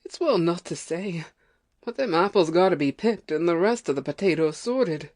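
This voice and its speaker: Overworked farm wife played by a woman, lowish voice, fretful and complaining, rustic dialect, audio drama. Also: animated, moderate pace, darkish tone. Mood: weary, complaining. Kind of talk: amateur audio play acting.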